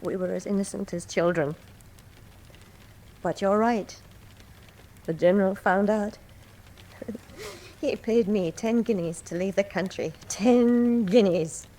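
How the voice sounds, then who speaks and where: A woman's voice: mid-range voice, slow pace, long pauses, mellow, a little hiss or hum, lightly acted. A woman playing a desperate but defiant young captive in a radio drama, pleading and melodramatic. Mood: confessing, wounded. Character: desperate, defiant young servant-born adventuress held captive.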